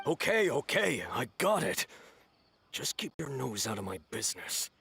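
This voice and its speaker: An actor playing a surly, defiant male customer of smugglers, gruff and argumentative. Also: medium pitch, big pitch swings, moderate pace, sharp tone, slight background noise, heavily acted.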